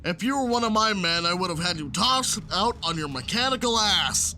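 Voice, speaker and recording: A man's voice: sits high, lively intonation, normal speed, pushed and bright, heavily acted. A man playing a stern, irritable military captain in an audio drama. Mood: angry, stern. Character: stern, irritable male military captain briefing his troops. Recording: clearly noisy background with engine-like ambience.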